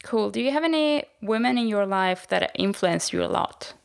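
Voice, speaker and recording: A woman from Sweden leading an interview in a calm, reflective manner. Her voice carries a gravelly, creaky texture at a middle pitch. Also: moderate pace. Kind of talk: interview questions and comments.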